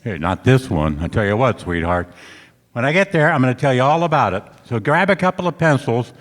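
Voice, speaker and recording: An actor playing a cocky, wry, hard-boiled private detective in a campy 1940s-style radio play. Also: male voice, medium pitch, big pitch swings, brisk, close to an everyday voice. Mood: cocky, cajoling. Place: stage of a large hall. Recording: echoey stage hall, live performance.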